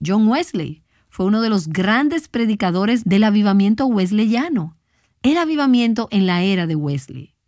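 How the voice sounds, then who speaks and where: A woman's voice: mid-range voice, big pitch swings, moderate pace, a little mellow, no echo. Female Bible teacher for a devotional talk; earnest, exhorting delivery, mid-range voice, close dry sound. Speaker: Bible teacher, conference speaker.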